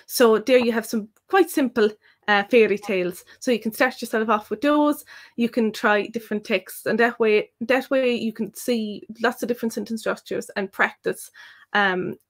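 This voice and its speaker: A woman's voice: on the high side, moderate pace. Chatty Irish woman tutor. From Ireland. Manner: thoughtful, rambling with many fillers. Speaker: Irish language tutor and co-host. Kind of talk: live stream Q&A.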